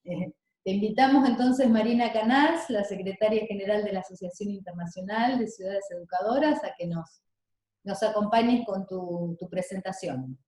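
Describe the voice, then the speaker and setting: A woman moderating a video meeting, relaxed and reassuring, with hesitant restarts. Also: medium pitch, normal speed. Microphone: video call. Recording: very echoey room on a call.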